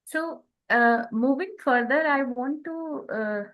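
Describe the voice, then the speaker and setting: A woman with an Indian accent giving an explanatory spiritual talk in a deliberate, halting manner, often checking in with short questions. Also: high-pitched, fairly bright tone. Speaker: co-host.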